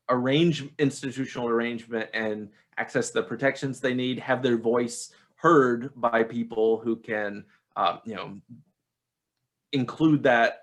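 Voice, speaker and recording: Author, male, mid-pitched; thoughtful, explanatory interview answers with frequent fillers. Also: a bit bright. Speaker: author interviewed about his book.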